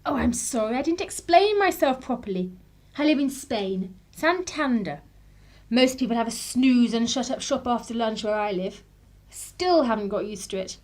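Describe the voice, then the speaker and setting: A woman's voice: fairly high pitch, lively intonation, normal speed, a little mellow, lightly acted. An actress playing a polite, chatty, inquisitive English woman visitor in a radio drama, with a genteel manner and an English accent.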